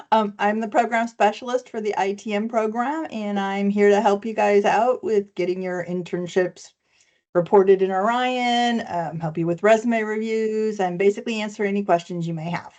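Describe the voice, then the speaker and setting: A woman's voice: medium pitch, normal speed, a little mellow. A woman program specialist introducing herself on a video call, friendly and joking.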